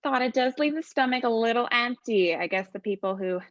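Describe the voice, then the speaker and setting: A woman's voice: a little high, expressive, fairly quick, no room sound, dull audio, close to an everyday voice. A woman playing a snarky, casual demon who is proud of corrupting humans, in a theatrical comic monologue. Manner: sardonic, casual, digressive.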